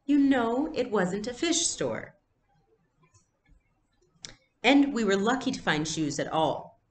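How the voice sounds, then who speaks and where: A woman's voice: mid-range voice, big pitch swings, takes it slowly, echoey room, pitched above the performer's own voice. A woman voicing a patient, practical storybook mother who explains things soothingly.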